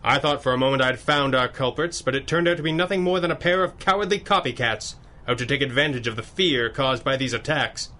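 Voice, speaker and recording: An actor playing a stern masked vigilante in radio adventure style, speaking in formal, commanding phrases. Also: male voice, medium pitch, brisk, sharp tone, close to an everyday voice. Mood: brooding, businesslike. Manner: commanding, formal, clipped interrogation.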